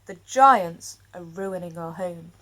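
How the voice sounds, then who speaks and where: A woman voicing a gentle, frightened male wolf in a storybook, speaking softly and pleadingly. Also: fairly low pitch, slow pace, voice pushed down, rough recording. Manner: soft pleading.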